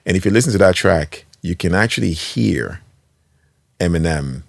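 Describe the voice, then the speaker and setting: Deep gravelly animated man. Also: moderate pace, dry recording, clean studio sound. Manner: animated, laughs easily, enthusiastic. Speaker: podcast host. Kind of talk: casual interview chat.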